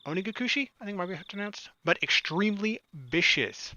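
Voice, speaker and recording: A man's voice: high-pitched, animated, brisk, pushed and bright, muffled recording. A man reading a visual novel aloud as a let's play host, theatrical and mixing in casual joking commentary.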